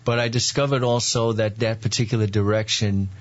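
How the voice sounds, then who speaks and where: A priest with a New York accent talks with a low voice, pausing often with ums and uhs as he recounts his path. The recording is dull and lo-fi. He speaks as an interview guest, unhurried and reflective. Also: level tone. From Long Island, New York. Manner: halting, many "um" and "uh" pauses. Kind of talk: interview, relaxed storytelling.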